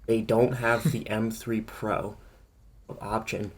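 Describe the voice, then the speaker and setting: Guy on a tech podcast ranting his opinions, rambly, kinda thinking out loud. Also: fairly low pitch, little pitch movement, takes it slowly. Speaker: podcast co-host. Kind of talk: casual tech podcast chat.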